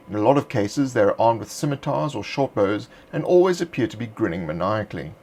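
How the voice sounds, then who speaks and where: A man narrating a script in a measured, deliberate reading voice. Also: medium pitch, a little mellow, slight background noise. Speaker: narrator of a game supplement.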